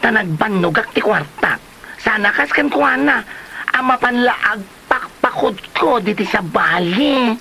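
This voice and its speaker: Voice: medium pitch, animated, measured pace, sharp tone, heavily acted, rough recording. An actor playing a touchy, boastful, flamboyant gossip with a grudge in a comedy drama, exclaiming and sighing in an Ilocano accent. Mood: exasperated. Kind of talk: colloquial comedy drama acting. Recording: clear background noise.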